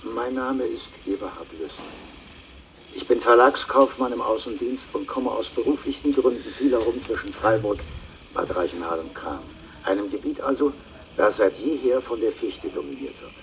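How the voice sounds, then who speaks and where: An actor playing an earnest asthmatic salesman and amateur botanist who gives a measured, formal recorded statement in a radio play. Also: medium pitch, lively intonation, lightly acted. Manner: measured, formal, precise wording. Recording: distant taped voice, faint noise.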